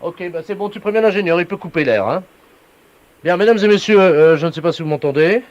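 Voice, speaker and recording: A brisk utility official, played by a man in a telephone prank. The voice is on the high side and businesslike, handing out instructions with total earnestness. The sound is dull and lo-fi. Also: expressive, a little mellow. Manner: businesslike, instructing, repeated tag questions. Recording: dull, lo-fi radio prank recording.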